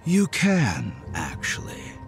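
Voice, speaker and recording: A slow, swooping, uncanny calm man. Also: medium pitch, soft background music, heavily acted. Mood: calm amusement. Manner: slow, measured, softly taunting, dropping toward a whisper. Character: calm inhuman man in hoodie, mildly amused, quietly menacing. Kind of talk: horror audio drama dialogue.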